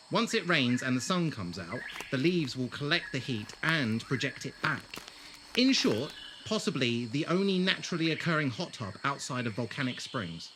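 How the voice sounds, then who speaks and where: A man playing a gentle, war-scarred wanderer in an audio drama, with an earnest, hesitant delivery. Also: medium pitch, noisy background. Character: gentle, awkward, war-scarred male wanderer with strange powers.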